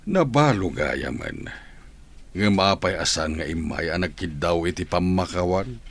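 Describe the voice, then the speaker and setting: A low, husky, creaking voice of a man acting as an elderly Ilocano patriarch in a radio drama. He is stern and grudging, speaking in short, gruff lines. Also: animated, measured pace, full character voice. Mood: stern, bitter.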